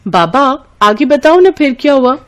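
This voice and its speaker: Woman voicing an eager high-voiced village girl. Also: sing-song, a little slow. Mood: curious, eager. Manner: questioning, eager. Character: eager, curious young village daughter. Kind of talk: storybook character voice.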